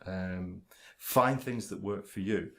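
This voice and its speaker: A man talking directly to camera about his stammer, halting, with frequent pauses, ums and restarts as he controls his speech. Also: deep voice, gravelly, a bit bright. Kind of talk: casual direct-to-camera talk.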